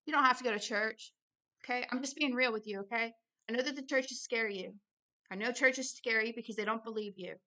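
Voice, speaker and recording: A woman's voice: a little high, a little fast, pushed and bright. A woman hosting informal religious commentary, reading aloud and adding personal asides in an earnest, insistent way, often repeating phrases for emphasis. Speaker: host and religious teacher.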